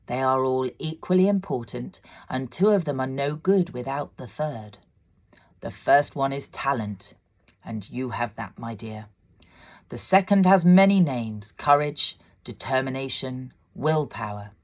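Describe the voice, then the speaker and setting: A woman voicing a gentle, loving old father in a storybook, speaking in a tender, measured way. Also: rumbling low, lively intonation, full character voice. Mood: earnest.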